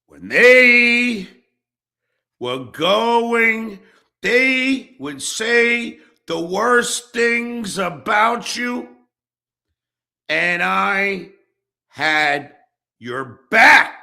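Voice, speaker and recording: A man rants angrily and profanely in a confrontational, emphatic way. His voice sits fairly high and is forceful and sharp, with a creaky edge. He leaves dramatic pauses between phrases, as if addressing a rival directly. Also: expressive. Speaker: online show host. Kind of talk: angry personal rant addressed to an absent rival.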